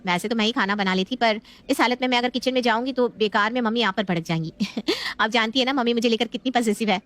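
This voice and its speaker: A woman's voice: fairly high pitch, fast, a bit bright, natural voice. A woman voicing a spoiled, scheming young married daughter in a family story, whiny and demanding. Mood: bossy, sweet.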